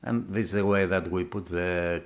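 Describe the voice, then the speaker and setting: A man with a fairly low voice talks through a hands-on product demonstration. He is a non-native English speaker and speaks haltingly, often stopping to search for the next word. The delivery is unscripted reviewer commentary. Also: fairly even intonation. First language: not English. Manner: halting, searching for words, with frequent hesitations. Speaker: product reviewer for an audio magazine.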